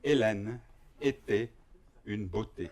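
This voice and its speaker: A man from Belgium performing a comic stage monologue in a mock-serious, deliberate way, with pauses for effect. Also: fairly low pitch, a little mellow. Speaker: stand-up performer.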